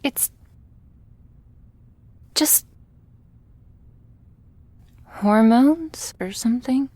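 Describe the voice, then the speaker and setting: Woman acting a shy, breathy, slow-talking vampire girl. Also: a little high, dry recording, full character voice. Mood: embarrassed, defensive. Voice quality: soft, breathy, often whispery. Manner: bashful, halting, nervously giggly. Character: shy, flustered, affectionate young female vampire. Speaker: ASMR roleplay performer. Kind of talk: intimate ASMR roleplay.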